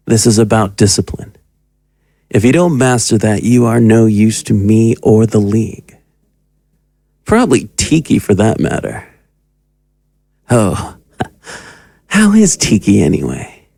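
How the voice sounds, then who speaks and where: A man's voice: lowish voice, sing-song, slow pace, gravelly, pauses often, mellow, no echo, studio quality, heavily acted. An actor playing a domineering, cruel occult magus who talks down to his pupil in an audio drama. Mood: menacing, condescending. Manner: condescending, commanding. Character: sinister, domineering male occult magus, cruel and mocking mentor.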